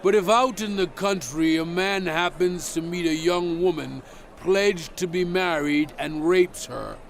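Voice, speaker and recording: A man performs a stern prophet proclaiming ancient law in a dramatized scripture reading. His fairly high-set voice has a gravelly creak, and he speaks very slowly and gravely, weighting each phrase over soft music. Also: full character voice. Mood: stern, emphatic. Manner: grave, deliberate, emphatic delivery.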